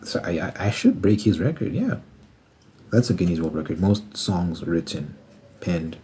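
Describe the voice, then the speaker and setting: A man's voice: deep voice, sing-song, moderate pace, warm tone, a little hiss or hum. A man in his twenties chatting casually on an ASMR channel, musing aloud and doing sums as he talks to himself. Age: 20s. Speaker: ASMR creator and musician. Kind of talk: casual rambling ASMR chat.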